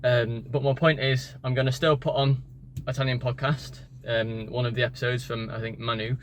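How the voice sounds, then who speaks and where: A man's voice: mid-range voice, flat delivery, moderate pace. A man, a native English speaker, talking casually in a vlog about learning languages, tired after the gym and rambling with many fillers and restarts. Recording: street and car noise behind.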